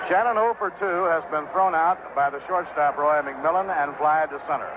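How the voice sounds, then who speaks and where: A man's voice: fairly high pitch, normal speed, narrow, tinny audio, noisy background. An American man giving live baseball play-by-play in an old radio broadcast. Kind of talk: live sports commentary. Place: stadium broadcast booth.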